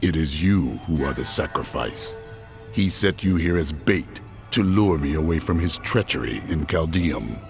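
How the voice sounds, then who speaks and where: A grim, gravelly warrior hero. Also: male voice, a bass voice, expressive, unhurried, faint music behind, heavily acted. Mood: stern. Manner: stern, gravelly, solemn. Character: grim holy warrior hero, stern and resolute. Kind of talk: game cutscene acting and narration.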